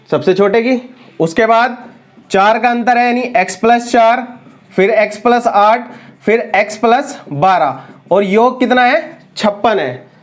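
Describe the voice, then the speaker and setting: A man with a sore throat teaching an exam-prep math lesson, working through problems step by step and addressing students as friends. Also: high voice.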